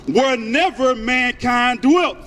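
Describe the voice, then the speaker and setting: A man's voice: very high voice, expressive, takes it slowly, a bit bright. A man reading Bible verses aloud in a declamatory style, as the reader for a preacher. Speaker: scripture reader. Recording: reverberant, distant, with street noise.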